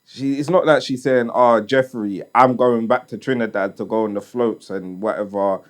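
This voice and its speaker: A man's voice: mid-range voice, steady tone, normal speed. A man co-hosting a podcast, laid-back with short interjections. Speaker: podcast co-host. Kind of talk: casual chat.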